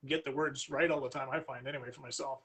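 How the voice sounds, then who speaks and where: A man, a guest author on a livestream, chatting casually in a halting, self-effacing way. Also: mid-range voice, fairly even intonation, a bit bright, breathy edge. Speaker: fantasy author, guest reader. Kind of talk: casual chat.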